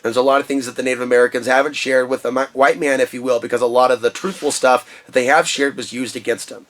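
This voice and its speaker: A man with a booming voice talking through a personal vlog monologue in an impassioned, ranting way from his shed studio. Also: fairly even intonation, quick pace, fairly bright tone. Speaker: vlogger.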